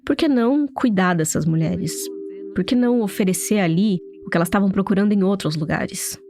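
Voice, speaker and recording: A woman reporter with a Brazilian Portuguese accent narrating a documentary story in a steady, storytelling manner. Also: mid-range voice, a little fast. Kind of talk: scripted narration.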